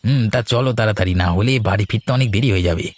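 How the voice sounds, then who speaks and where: Fast, low-voiced village brother played by a man. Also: no room sound, natural voice. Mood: eager, busy. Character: eager village brother in the family fish trade. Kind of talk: Bengali cartoon dialogue.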